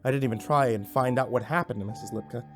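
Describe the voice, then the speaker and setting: A man's voice: medium pitch, fast, soft background music. A man giving an intense, theatrical first-person narration of a scripted horror story.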